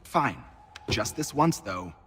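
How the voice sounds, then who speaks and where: An actor playing a fussy, reluctant colonial printer with formal speech in a video game. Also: male voice, mid-range voice, big pitch swings, slow, a bit bright, big-room sound, a little hiss or hum. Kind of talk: video game cutscene acting.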